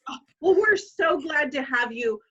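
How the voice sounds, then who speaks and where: A woman teacher speaks to children over a video call in a high, bright, bubbly voice. Her tone is exaggerated in the way teachers talk to young kids. The call audio is dull and lo-fi. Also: animated, unhurried. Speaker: elementary school teacher hosting career day. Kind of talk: enthusiastic classroom welcome.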